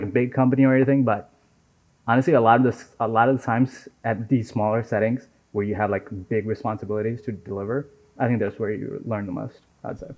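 A young man from Nepal talks in a casual, chatty way with a fairly low voice. The recording sounds dull and lo-fi. He keeps things loose and conversational as a guest. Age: 20s. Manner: relaxed and chatty, many fillers like "right?" and "man". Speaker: software engineer guest. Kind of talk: casual interview chat. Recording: dull lo-fi audio.

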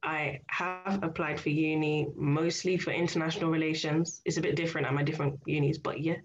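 Teen girl, really low flat voice, muffled lo-fi with a bit of hiss. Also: brisk. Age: late teens. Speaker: student interviewee. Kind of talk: casual self-introduction.

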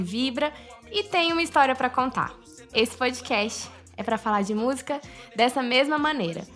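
A woman's voice: sits high, fairly bright tone. A woman with a Goiás accent hosting a podcast, chatting casually and upbeat, often laughing and chiming in. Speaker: podcast host. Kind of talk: casual interview chat.

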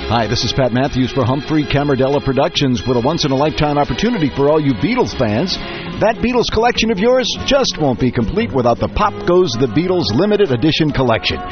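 A man reading a scripted, upbeat sales pitch as an announcer. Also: animated, fast talker. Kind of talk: scripted ad read. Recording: dull lo-fi radio with music behind.

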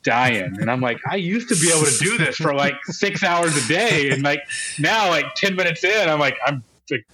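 A man's voice: a little high, animated, normal speed, over a noisy crowd, rough recording. A man in his thirties, a guest on a podcast, talking casually with frequent laughs and stuttered starts. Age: 30s. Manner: laughing, repeats words when starting. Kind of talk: casual chat.

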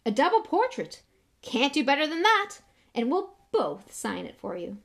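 A pompous celebrity schoolmaster, as a woman narrator puts him on, hearty and booming. He is jovial, self-important and patronising, with showy, animated pitch, in storybook fashion. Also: moderate pace, gravelly, exaggerated voice.

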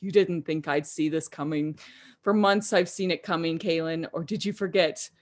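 Sinister slow-talking Santa, woman puts him on, hissing threats, gloating. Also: fairly even intonation, natural voice. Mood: booming gloating. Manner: slow, hissing, then booming. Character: menacing male Santa, sly and gloating.

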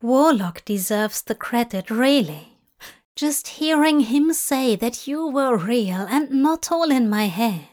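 Gentle, careful male angel, read by a woman, soft and mild, slightly high. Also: lively intonation, slow. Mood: tender.

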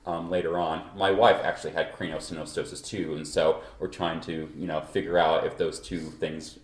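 A man's voice: low voice, little pitch movement, normal speed. A man from Kansas hosting a podcast in loose, rambling casual chat, feeling a bit unwell. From Kansas, USA. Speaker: podcast host. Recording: echoey room recording.

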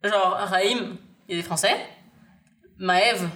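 A woman's voice: medium pitch, drawn-out words, a bit bright. A young woman in casual chat as a podcast co-host, speaking slangy speech peppered with English phrases. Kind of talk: casual chat about a TV series.

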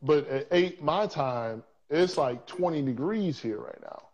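A man's voice: on the high side, lively intonation, a little slow, crowd noise. A man hosting a casual podcast from his home basement, talking loosely and swearing as he rambles. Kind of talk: casual chat.

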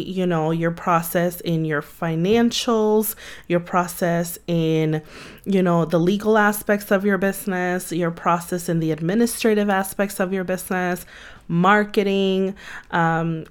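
A woman with a somewhat low voice hosts her podcast solo, speaking slowly and without hurry. She talks conversationally, often pausing on fillers as she thinks aloud. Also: clear, resonant tone. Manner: conversational, unhurried, frequent fillers. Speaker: podcast host and marketing agency CEO.

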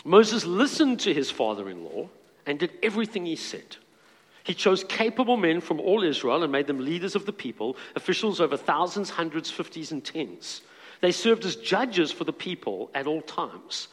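A man's voice: a little high, big pitch swings, normal speed, big-room sound. A man preaching a sermon as pastor, reading scripture aloud and adding earnest asides.

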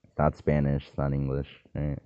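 A man's voice: a bass voice, completely flat delivery, moderate pace. A man rambling through a loose, jokey solo monologue, wandering between topics and cutting himself off mid-thought. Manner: meandering, self-interrupting, jokey asides. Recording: dry, tinny phone-like sound.

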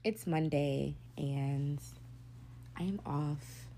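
A young woman hosting her own podcast, talking candidly and casually, swearing freely, with a deep, gravelly voice. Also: drawn-out words, mellow, slight background noise. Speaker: podcast host. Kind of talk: casual solo podcast monologue.

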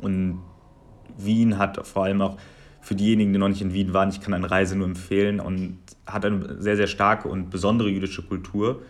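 A man in his twenties from Germany, answering interview questions in a measured, articulate way with long listing sentences. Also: deep voice, flat delivery. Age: 20s. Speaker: guest.

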